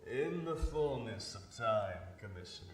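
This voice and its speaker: Spooky sardonic masked avenger, old radio show style, swoopy slow delivery, echoey. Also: male voice, on the low side, lively intonation. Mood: sinister, teasing. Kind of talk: 1930s radio drama acting. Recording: echoey, distant, faint background noise.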